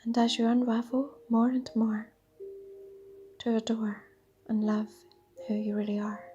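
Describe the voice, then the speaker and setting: Slow, breathy woman guiding a meditation. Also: fairly high pitch, flat delivery, lo-fi sound. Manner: breathy, half-whispered delivery; dreamy, soothing, with drawn-out pauses. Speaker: meditation guide. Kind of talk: guided meditation. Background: soft sound bath music.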